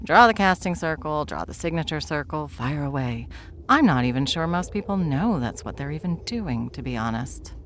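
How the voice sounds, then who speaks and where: A woman's voice: low-pitched, animated, moderate pace, slight background noise. A woman playing an ancient, deathless spellcaster, wry and self-assured, lecturing theatrically in a fantasy roleplay audio drama. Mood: animated explaining. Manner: theatrical, wry, leisurely lecturing with breathy asides.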